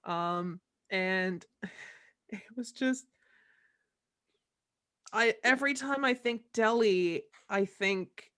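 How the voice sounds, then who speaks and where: A woman talking casually as a voice actor in a cast discussion, haltingly circling back to rephrase. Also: medium pitch, a bit bright. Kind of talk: casual chat.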